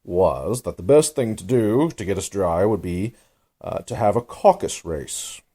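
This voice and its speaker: A man as a pompous, booming storybook dodo. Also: expressive, measured pace, mellow, breathy edge, exaggerated voice. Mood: offended. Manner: grave, ponderous, long-worded delivery. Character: pompous, solemn dodo bird, easily offended. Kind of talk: storybook character voice.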